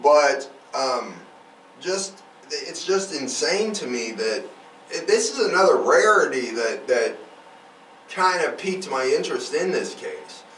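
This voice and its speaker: A man's voice: on the high side, expressive, slow, a bit bright. A man hosting a true crime podcast, telling the story in a casual, emphatic and profane conversational way. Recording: roomy, distant mic, faint noise.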